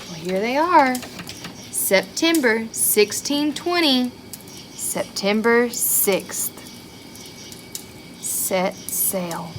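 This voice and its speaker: A woman reads a picture book to young children at a very unhurried pace. Her voice sits fairly high and she is expressive, chatting to her listeners with questions and asides. There is faint noise behind her. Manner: expressive, child-directed, with rhetorical questions and exclamations.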